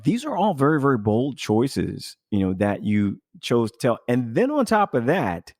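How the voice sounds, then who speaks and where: A man's voice: medium pitch, wildly swooping pitch, normal speed, darkish tone, dry recording, clean studio sound. A man hosting a casual conversational podcast interview in an effusive, emphatic, rambling way. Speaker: podcast host.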